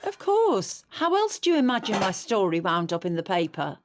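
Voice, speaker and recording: A woman playing a stubborn, indignant older widowed mother in an audio drama, prim and quick to retort. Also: mid-range voice, very animated, a little hiss or hum, close to an everyday voice. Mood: matter-of-fact. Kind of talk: naturalistic audio drama acting.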